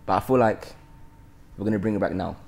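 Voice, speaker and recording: A man's voice: fairly low pitch, quick pace, gravelly, darkish tone, slight background noise. A young man hosting a podcast in casual chat on a handheld mic, repeating phrases for emphasis. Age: young adult. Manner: informal, repeats himself for emphasis. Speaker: podcast host. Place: bright loft-style room indoors, on a sofa. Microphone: handheld microphone.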